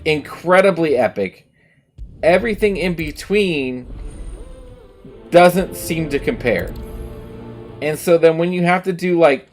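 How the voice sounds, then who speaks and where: A man chatting casually and emphatically on a livestream while playing a game, giving opinions with some swearing. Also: a little high. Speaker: game streamer. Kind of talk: casual livestream chat over gameplay.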